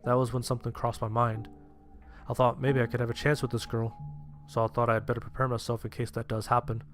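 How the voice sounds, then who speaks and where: A man acting as an anxious young male survivor tells his horror tale in the first person. His delivery is plain-spoken and very even in pitch, at a middling male register, with music underneath. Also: fast talker, close to an everyday voice. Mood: narrating, sheepish. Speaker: narrator. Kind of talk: first-person horror story narration with dialogue. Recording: music behind the voice.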